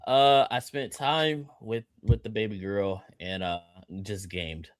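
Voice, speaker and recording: A man's voice: fairly low pitch, fairly even intonation, slow, no echo, clean studio sound. An American man of thirty co-hosting a livestream with casual, joking chat, boisterous and slangy, repeating phrases for emphasis. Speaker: livestream co-host.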